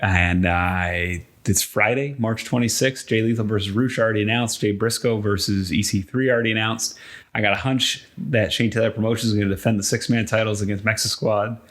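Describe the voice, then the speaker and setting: A man's voice: fairly low pitch, steady tone, brisk, a bit bright. Man co-hosting a casual wrestling podcast. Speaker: podcast co-host. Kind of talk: casual wrestling podcast chat and event promotion.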